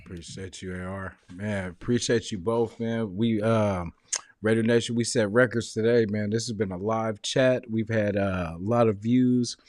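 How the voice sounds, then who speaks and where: A man's voice: fairly low pitch, fairly even intonation, moderate pace, darkish tone, no room sound, studio quality. A man hosting a fan podcast, laid-back and thanking his guests. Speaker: podcast host. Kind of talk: casual podcast sign-off.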